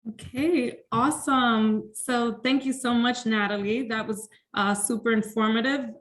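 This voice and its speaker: A woman's voice: fairly high pitch, steady tone, unhurried. A woman hosting an online career workshop, upbeat and thankful, with frequent fillers as she introduces speakers. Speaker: webinar host. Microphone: video call.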